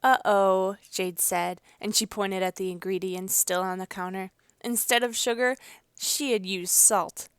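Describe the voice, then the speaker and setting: A woman's voice: medium pitch, fairly even intonation, a little slow, fairly bright tone, clear, resonant tone, no echo, clean studio sound. A woman narrating a children's picture book as a storyteller, reading expressively. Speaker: read-aloud storyteller.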